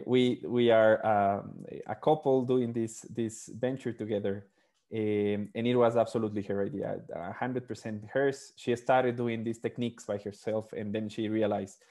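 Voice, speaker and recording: A man's voice: on the low side, mellow. A man in his thirties from Ecuador, a startup founder talking over a video call with an earphone mic, earnest and fluent with frequent fillers. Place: small room indoors.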